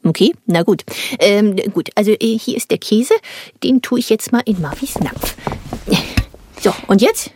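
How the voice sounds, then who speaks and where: A woman's voice: mid-range voice, expressive, a little slow, a little mellow, breathy edge, no echo, clean studio sound. A woman voicing Ulk, a cheerful, naive little star boy who asks eager childlike questions in a children's TV show. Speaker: main puppet character. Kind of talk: children's TV puppet play.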